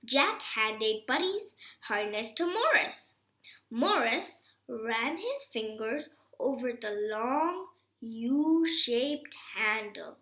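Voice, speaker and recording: Female storybook reader: bright, unhurried, expressive and emphatic phrasing, echoey home recording. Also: fairly high pitch. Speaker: reader of a children's book. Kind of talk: reading a storybook aloud.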